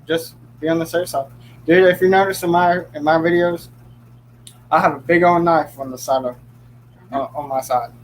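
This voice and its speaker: A man's voice: on the high side, steady tone, normal speed, long pauses, a little hiss or hum, low-quality recording. A young man hosting a casual livestream chat, joking often and laughing easily. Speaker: livestream host.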